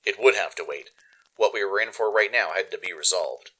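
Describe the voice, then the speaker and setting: Man, radio play narrator, lowish pitch, bright forceful tone, expressive scripted storytelling. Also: brisk, rough recording. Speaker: narrator and producer.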